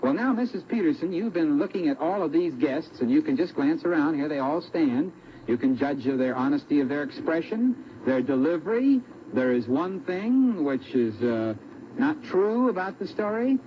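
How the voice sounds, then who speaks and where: A man's voice: a little high, animated, normal speed. A man with an American accent hosts a vintage radio show. His delivery swings up and down as he formally prompts contestants. The sound is dull, with a faint layer of noise. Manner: addresses guests formally, prompting with questions. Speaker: game show host. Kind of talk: 1940s radio audience-show hosting. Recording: dull, noisy old radio broadcast.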